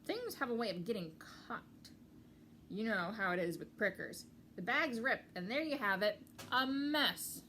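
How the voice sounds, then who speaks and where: A woman voicing a scrappy, cheerful, bumbling male pack rat from a storybook, loud and blunt with a breezy manner. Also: on the high side, expressive, a little slow, slight background noise. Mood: breezy explaining.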